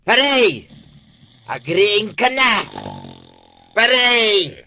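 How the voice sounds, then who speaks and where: A man acting an excitable, complaining village husband in a radio comedy drama, with an Ilocano accent. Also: high-pitched, very slow, a little hiss or hum, exaggerated voice. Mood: urgent calling.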